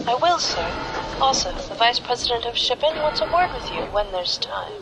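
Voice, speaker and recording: A woman playing a deferential, dutiful aide in an audio drama, speaking politely and crisply. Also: on the high side, sing-song, normal speed, background noise.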